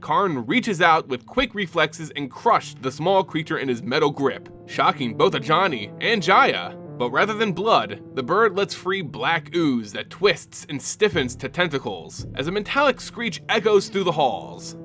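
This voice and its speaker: A man's voice: on the high side, sing-song, measured pace, few pauses, sharp tone, faint music behind. A man hosting his own channel, narrating a fantasy lore story dramatically and speaking casually to his viewers. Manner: addresses viewers casually as "guys". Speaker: YouTube channel host.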